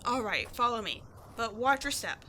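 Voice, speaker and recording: Lady playing a cocky tomboy adventurer girl, high bright voice, snarky and slangy, audio roleplay. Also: normal speed, a little hiss or hum. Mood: defensive, cocky. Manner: sarcastic, slangy, exasperated, expressive. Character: brash, cocky tomboy adventurer woman, sarcastic and defensive. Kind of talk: scripted ASMR-style audio roleplay, one-sided dialogue.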